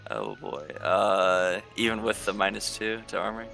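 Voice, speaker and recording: Low, creaky-voiced man playing a tabletop game. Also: unhurried, sharp tone, soft background music. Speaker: player in a tabletop roleplaying game. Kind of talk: casual game chat.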